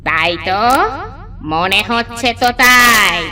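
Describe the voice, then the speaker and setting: A woman voicing an imperious, menacing witch queen in a cartoon, speaking deliberately. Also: mid-range voice, sharp tone. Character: commanding witch queen who hunts humans. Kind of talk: cartoon villain dub.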